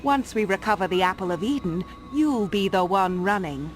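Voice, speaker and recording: A woman performs a defiant video game captive. Her medium-pitched voice is animated and haughty, needling whoever she addresses. Music is clearly heard behind her. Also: moderate pace, natural voice. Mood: taunting, commanding. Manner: haughty, taunting. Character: defiant, scornful young woman Templar captive. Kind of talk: scripted game cutscene acting.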